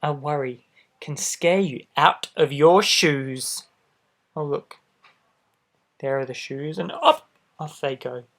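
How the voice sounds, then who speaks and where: Man gently reading a children's picture book. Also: on the high side, slow pace, pauses often. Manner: gentle, expressive, addressing a young listener directly. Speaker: storybook reader for children. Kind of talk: read-aloud picture book narration with asides.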